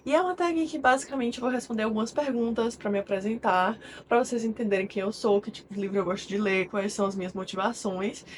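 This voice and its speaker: A woman's voice: mid-range voice, slight background noise. A woman in her twenties with a Brazilian accent, chatting casually and a little self-consciously to camera as a book vlogger. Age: 20s.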